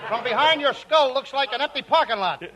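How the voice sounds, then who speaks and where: A man's voice: extremely high pitch, brisk. A male comedian in a 1940s radio comedy, wisecracking in a brash, boyish way. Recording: noisy 1940s radio broadcast.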